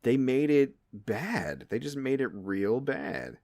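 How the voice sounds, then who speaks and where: A man hosting a podcast, chatting casually in an animated, joking way with some swearing. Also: medium pitch, takes it slowly, gravelly, no echo, studio quality. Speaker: podcast host. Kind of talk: casual, bantering podcast chat.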